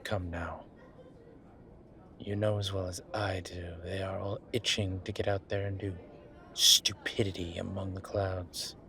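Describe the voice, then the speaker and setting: A man playing a wry, laid-back incubus demon professor in a roleplay audio drama, talking with breathy pauses and trailing sentences. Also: low-pitched, level tone, pushed and bright, exaggerated voice. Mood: amused, teasing. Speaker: voice actor. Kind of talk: roleplay audio drama, one side of a conversation.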